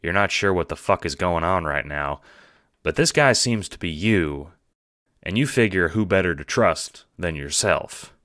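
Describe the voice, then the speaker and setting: A man's voice: deep voice, expressive, a little slow, fairly bright tone, no room sound. A man narrating a scripted second-person audiobook drama.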